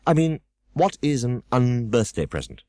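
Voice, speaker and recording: Storybook girl: polite, gentle and slightly anxious, played by a man with a somewhat raised, very dry voice. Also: medium pitch, sing-song, moderate pace, no echo, voice pushed up, natural voice. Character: polite, earnest young girl.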